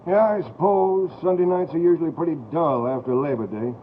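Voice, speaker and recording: A man's voice: a little high, brisk, natural voice. A man playing a dry police radio dispatcher on night shift, in old-time American radio drama style. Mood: dry, bored. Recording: muffled, hissy vintage radio recording.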